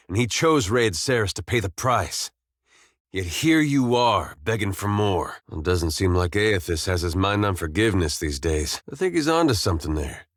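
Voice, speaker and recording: An actor playing a laid-back, good-natured farmer turned adventurer in a video game, plain-spoken and folksy with dry, understated humor. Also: male voice, low voice, a bit bright, dry recording. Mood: wry, easygoing. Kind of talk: scripted video game dialogue.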